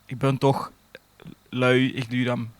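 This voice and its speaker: A man telling an everyday anecdote in a halting, colloquial podcast chat. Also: mid-range voice, level tone, dry recording. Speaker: podcast host.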